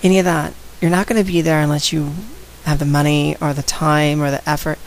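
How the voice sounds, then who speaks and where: Woman vlogger, low gravelly voice, raspy from a head cold, outspoken and direct, faint hiss. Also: little pitch movement, fairly quick, rough recording. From San Antonio, Texas. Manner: outspoken, direct, addresses viewers. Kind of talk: casual talk to camera.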